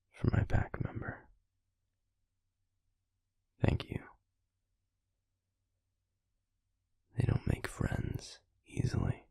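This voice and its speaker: Man acting a gravelly, hushed werewolf pack alpha. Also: no room sound, studio quality, exaggerated voice. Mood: quietly grateful. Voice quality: gravelly, husky, breathy low voice. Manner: measured, deliberate, formal, hushed. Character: grave, protective male werewolf pack alpha, formal and weary. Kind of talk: audio roleplay drama acting.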